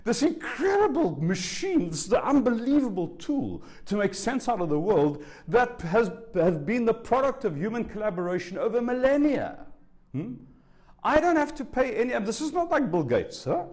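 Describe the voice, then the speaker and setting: Animated man in his sixties. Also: extremely high pitch, normal speed. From South Africa. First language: Afrikaans. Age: 60s. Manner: animated, conversational, asks the audience rhetorical questions. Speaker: spiritual teacher giving a lecture. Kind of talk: public talk to a live audience. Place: university lecture room.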